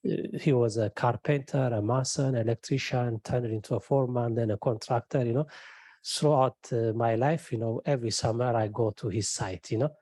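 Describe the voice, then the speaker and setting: A middle-aged man with an Ethiopian accent, a professor on a panel, telling his story in a relaxed way, often adding "you know". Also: mid-range voice, no room sound. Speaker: wind engineering professor, panelist. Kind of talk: panel discussion answer.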